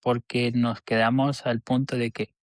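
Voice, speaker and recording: A man speaks as a podcast guest at a middle pitch. He talks earnestly but hesitantly, often restarting his sentences. The sound is dry and close-miked. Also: flat delivery, a little slow, no echo. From El Salvador. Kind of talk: casual interview chat.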